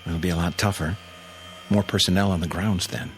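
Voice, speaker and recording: An actor in a radio drama playing an anxious test pilot desperate to escape, tense and questioning. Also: male voice, deep voice, steady tone, fairly quick, a little hiss or hum. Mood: anxious, grave.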